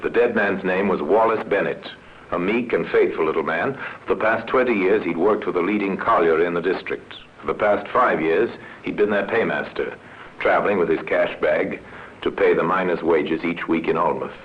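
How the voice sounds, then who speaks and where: A man giving terse, deliberate, dramatic scripted narration in the style of old radio. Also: deep voice. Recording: muffled old radio recording with noise.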